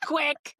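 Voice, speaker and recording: A woman's voice: high voice, bright, forceful tone, no room sound, clean studio sound. A woman co-hosting a comedy podcast, chatting casually and reading fan fiction aloud in a playful, bawdy, giggly way. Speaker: podcast co-host.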